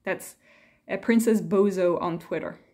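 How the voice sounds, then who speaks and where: A woman's voice: fairly low pitch, a little slow, mellow. A woman vlogger talking casually and reflectively in a hesitant, rambling way, reading quotes aloud between her own thoughts.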